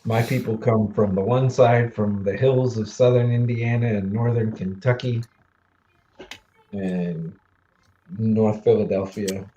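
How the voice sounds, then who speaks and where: A man in his forties from the Mid-Atlantic US, hosting a casual livestream chat, easygoing and quick to laugh, with lots of fillers. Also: fairly low pitch, fairly even intonation, mellow, muffled recording, a little hiss or hum. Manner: chatty, laughs easily, trails off with fillers. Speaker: livestream host.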